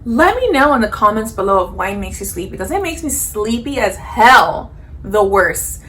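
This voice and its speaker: A woman in her thirties chatting casually to the camera while doing her makeup, animated and full of fillers and jokey asides. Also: mid-range voice, normal speed. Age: 30s. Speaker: beauty vlogger.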